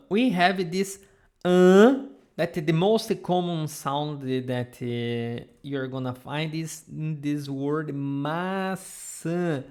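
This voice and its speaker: Brazilian woman, Portuguese teacher on a live stream, slow and patient, drills sounds over and over, camera mic. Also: low voice. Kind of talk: live online language lesson.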